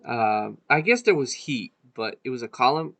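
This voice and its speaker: A man born in Serbia, co-hosting a podcast in a relaxed, casual chat, swearing freely and trailing off mid-thought. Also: medium pitch, normal speed, creaky. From Serbia (former Yugoslavia). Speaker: podcast co-host.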